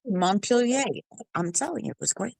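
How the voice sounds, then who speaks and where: Gravelly-voiced woman from Canada. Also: medium pitch, animated, normal speed, darkish tone, dry recording. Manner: blunt, swears freely, playful. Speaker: stream guest. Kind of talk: casual chat.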